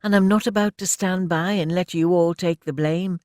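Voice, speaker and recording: A man's voice: sits high, steady tone, moderate pace, darkish tone, no room sound, clean studio sound, pitched above the performer's own voice, lightly acted. A man doing a shaky, resolute young woman. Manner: emphatic, shaky.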